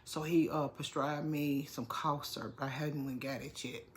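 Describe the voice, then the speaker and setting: A woman's voice: low-pitched, steady tone, a little slow. Congested middle-aged woman vlogging. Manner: chatty, repeats herself, Southern-style "y'all". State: congested, under the weather. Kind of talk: casual vlog talk to viewers.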